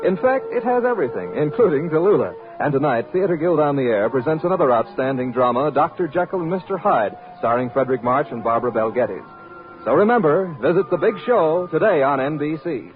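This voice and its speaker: A man's voice: on the high side, expressive, a little fast. A man announcing in a 1950s network radio style, on an old broadcast recording. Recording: tinny old radio broadcast, music behind. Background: music.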